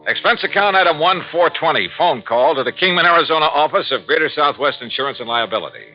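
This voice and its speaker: An actor playing a wry, dry-humored insurance investigator who also narrates, in a 1950s radio drama. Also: male voice, fairly high pitch, expressive, fast talker, lightly acted. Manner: wry, dry, hard-boiled. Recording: dull lo-fi 1950s radio recording with faint music.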